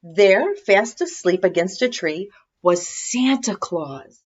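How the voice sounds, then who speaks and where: A woman reading a children's story aloud in expressive scripted narration. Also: medium pitch, slow, breathy edge, muffled recording. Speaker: storybook reader.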